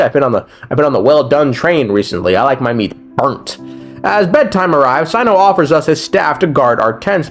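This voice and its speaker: Animated young man with higher-pitched voice. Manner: slangy, profane, joking, often chuckling. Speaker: gaming video commentator. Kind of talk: casual recap commentary with reactions.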